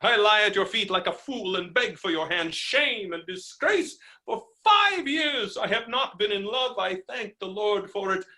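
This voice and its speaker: An actor playing a hot-headed, stammering landowner who is helplessly falling in love, in a theatrical staged reading of a farce. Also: male voice, high-pitched, expressive, bright, forceful tone, heavily acted. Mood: desperate, lovestruck. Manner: theatrical, stammering, swings from halting to bellowing.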